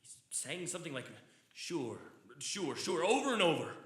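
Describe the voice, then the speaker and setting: A man's voice: fairly high pitch, sing-song, moderate pace, fairly bright tone. An actor playing an anxious, weak-willed county doctor in a live old-time radio drama, agitated and hesitant as he pleads. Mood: subdued, uneasy. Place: theatre stage. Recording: echoey live stage recording.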